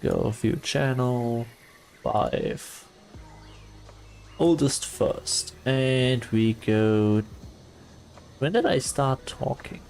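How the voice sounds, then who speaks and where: Slow, soft-spoken male streamer. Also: medium pitch, creaky, a little hiss or hum. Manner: soft and calm, hesitant with many fillers. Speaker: livestreamer. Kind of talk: casual chat with viewers.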